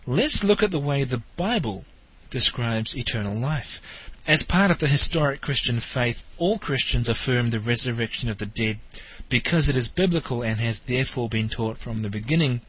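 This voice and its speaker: Nz guy with a blocked stuffy nose, husky and kinda sharp, teasing, asking the listener questions. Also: medium pitch, expressive, moderate pace, few pauses, low-quality recording. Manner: wry, questioning, lightly teasing. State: nasal, stuffed up from hay fever. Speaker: talk show host. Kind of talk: spoken theology commentary monologue.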